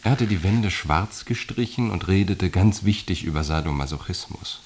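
An actor playing a matter-of-fact storyteller, narrating a literary radio drama. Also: male voice, low-pitched, moderate pace, slight background noise.

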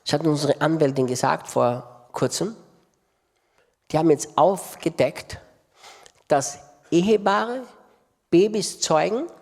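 A man's voice: on the high side, slow pace, vocal fry, pauses often, a little mellow. A man preaching a sermon with impassioned delivery and emphatic repetition. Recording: long reverb of a large hall.

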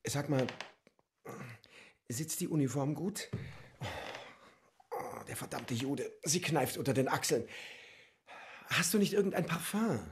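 A man's voice: a little high, measured pace, vocal fry, long pauses. A man in a radio play, acting a vain, insecure young officer in love, with a theatrical, self-important manner. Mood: preening, eager.

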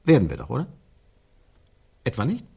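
Radio play: a probing, insistent man, mid-pitched and husky, circling his point with emphatic repeats. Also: wildly swooping pitch, warm tone, heavily acted. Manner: emphatic, repetitive, probing, pausing for effect. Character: insistent, searching man theorising about an absent woman. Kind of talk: radio play dialogue, rhetorical repetition.

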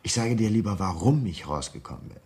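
Radio thriller: escaped convict blackmailer, deep and husky, sardonic, controlled, quietly threatening, insistent on money. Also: male voice, animated, a little fast. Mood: coolly sardonic. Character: cool, menacing escaped convict demanding money. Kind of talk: German radio crime drama acting.

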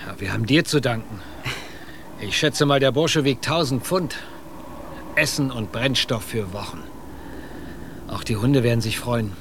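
An actor playing a hardy expedition officer and hunter, speaking in a dry, matter-of-fact, commanding way. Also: male voice, medium pitch, measured pace, pauses often, close to an everyday voice. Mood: satisfied, unruffled. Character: Mister Wilde, hardy unruffled male expedition officer and hunter. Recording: clear outdoor ambience noise.

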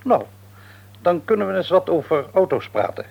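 A husky, genial father. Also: high-pitched, big pitch swings, moderate pace, full character voice. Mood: genial, businesslike. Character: genial father, businesslike middle-aged man of affairs. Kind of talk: Dutch radio play acting. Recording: muffled old radio recording.

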